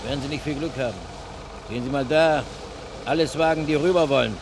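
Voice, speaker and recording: An actor playing a gruff, unhelpful taxi driver in a German radio crime drama, curt and dry. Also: male voice, a little high, fairly quick, natural voice. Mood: curt, unimpressed. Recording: vintage radio play with vehicle noise.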